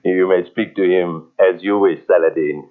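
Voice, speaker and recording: Actor as menacing low-voiced radio villain. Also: male voice, fairly even intonation, normal speed, natural voice. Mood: gloating. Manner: theatrical, commanding. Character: menacing, gloating male villain who refuses defeat. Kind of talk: old-time radio horror acting. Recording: muffled old radio drama tape.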